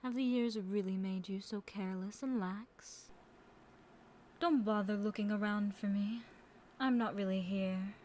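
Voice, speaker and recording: A woman's voice: medium pitch, darkish tone, slight background noise, lightly acted. A woman playing a seductive, condescending immortal Demon Queen in a theatrical, taunting audio roleplay monologue. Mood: teasing, sly.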